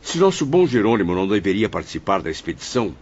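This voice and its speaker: Guy acting a curious polite spirit student, low husky voice, lively tone, formal questions. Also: fast, dull audio. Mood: curious, concerned. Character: inquisitive, compassionate male spirit apprentice, courteous and eager. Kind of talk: audio drama acting.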